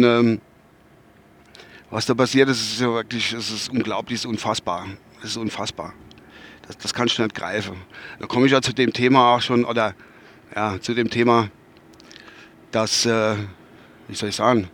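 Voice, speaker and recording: A man's voice: mid-range voice, a bit bright. Rambling man with a Westphalian accent. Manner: rambling, searching for words, many fillers and restarts; swears casually. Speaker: podcast host. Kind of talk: casual spoken podcast monologue. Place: inside a car while driving.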